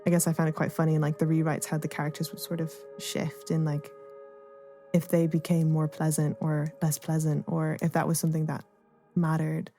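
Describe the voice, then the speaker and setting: Woman, lower register, very level intonation, thoughtful interviewee feeling out each idea, faint music underneath. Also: completely flat delivery, brisk, dark tone. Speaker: artist and playwright being interviewed. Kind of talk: reflective interview conversation.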